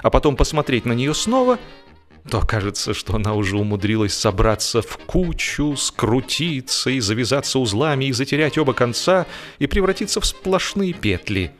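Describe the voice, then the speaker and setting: Expressive male storyteller reading humorous literature. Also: medium pitch, moderate pace, soft background music. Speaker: audiobook narrator. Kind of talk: scripted humorous literary narration.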